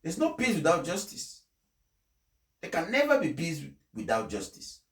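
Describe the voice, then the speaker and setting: A man speaking in an emphatic, deliberate way, like someone delivering a speech and commenting on it. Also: fairly high pitch, wildly swooping pitch, a bit bright. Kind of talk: formal read-aloud speech, then impassioned personal commentary. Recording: echoey, reverberant room sound.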